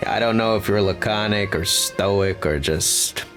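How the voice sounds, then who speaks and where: A man's voice: on the low side, measured pace, natural voice. A man playing a friendly, grateful army lieutenant in a war game, talking amiably. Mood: amused. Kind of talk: cinematic war game dialogue. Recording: clear music under the voice.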